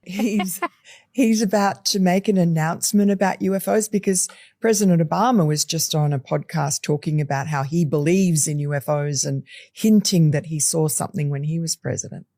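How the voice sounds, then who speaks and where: A woman's voice: low voice, normal speed, mellow, no echo, studio quality. A woman acting as interviewer, speaking in a casual, chatty interview style.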